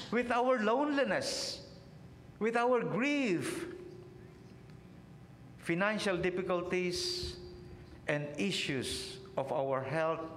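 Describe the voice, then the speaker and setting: Slow, high-voiced preacher in a reverberant church. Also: male voice, fairly bright tone. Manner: slow, measured preaching with phrases falling away at the ends. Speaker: priest. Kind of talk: sermon. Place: church sanctuary, at a pulpit. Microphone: pulpit microphone on a stand. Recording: roomy church reverb, faint low rumble.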